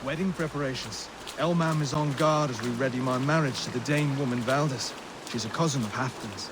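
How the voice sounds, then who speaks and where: An actor playing an earnest, unsure young Saxon king in a video game, speaking in a halting, self-deprecating way. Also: mid-range voice, noisy background, natural voice. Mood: earnest, calm. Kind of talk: video game cutscene acting.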